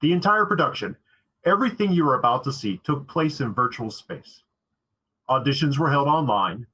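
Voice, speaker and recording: A man with a slightly husky voice giving an earnest, scripted introduction as a theatre director. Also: mid-range voice, pushed and bright, low-quality recording.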